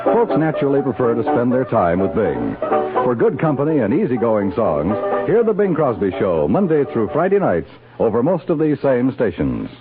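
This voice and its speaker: Very animated American radio announcer, man. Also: mid-range voice, moderate pace. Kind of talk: 1950s radio announcing, scripted. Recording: tinny old radio broadcast with loud music. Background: loud music.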